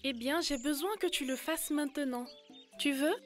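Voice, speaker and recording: A woman's voice: high-pitched, normal speed, faint music behind, natural voice. A woman voicing a cheerful, playful young village girl in an educational cartoon. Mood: amused, coaxing. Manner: playful, giggly, teasing. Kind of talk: animated educational cartoon dialogue.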